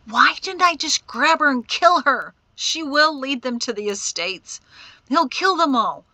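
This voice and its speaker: An embittered young male prisoner in an audiobook, acted out by a woman. The voice sits high and pushes forward with a sharp edge. He is agitated, quick to anger and given to sarcasm. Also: lively intonation, dry recording. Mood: anguished, furious. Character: bitter, hot-tempered young man held prisoner in chains. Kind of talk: audiobook character acting.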